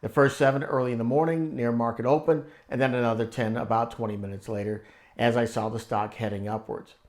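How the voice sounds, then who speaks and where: A man hosting an investing channel, reading scripted analysis in a measured, explanatory way with conversational asides. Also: mid-range voice, fairly even intonation, darkish tone. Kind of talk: scripted stock analysis narration.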